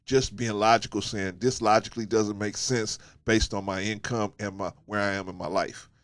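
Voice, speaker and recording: A man's voice: on the low side, fairly even intonation, normal speed, a bit bright. A man with a stuffy nose from a cold, giving a casual opinion monologue as host of his channel, emphatic and preachy, repeating his points and asking "right?".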